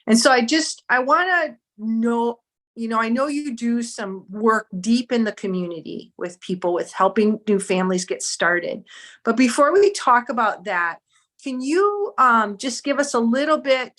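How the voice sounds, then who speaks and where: A woman interviewer with a fairly high voice asks her question loosely, circling and rephrasing it. Her tone is casual, like a conversation. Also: a bit bright. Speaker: interviewer, host.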